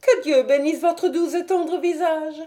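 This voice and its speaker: A woman puts on a distraught elderly housekeeper who pleads through tears. Her voice sits high above the narrator's and has a soft, mellow tone. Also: fairly even intonation, a little slow. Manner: tearful, pleading. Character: anxious old housekeeper woman, sobbing.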